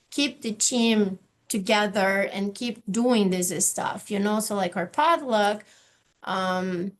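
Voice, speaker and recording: A woman from Brazil with a Brazilian Portuguese accent, an office manager talking earnestly on a video call panel, with many fillers and restarts. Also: mid-range voice. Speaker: office manager in a tech transfer office. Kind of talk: virtual panel discussion.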